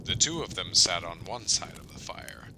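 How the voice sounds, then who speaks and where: A man's voice: low-pitched, lively intonation, normal speed, background noise. A man playing a folksy village storyteller, telling a spooky tale rhythmically and expressively in an audio drama. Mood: relishing, spooky.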